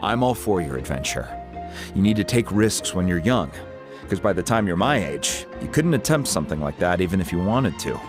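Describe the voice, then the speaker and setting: An actor playing a laid-back older man in a video game, a wise, caring family friend telling things in a measured, conversational way. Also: sits low. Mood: resigned, sincere.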